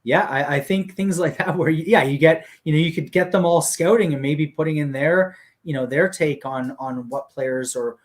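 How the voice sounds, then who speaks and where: A man explaining a business plan in casual conversation, steady and full of fillers like "you know" and "um". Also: a little high, moderate pace, few pauses. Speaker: guest explaining a hockey league business model.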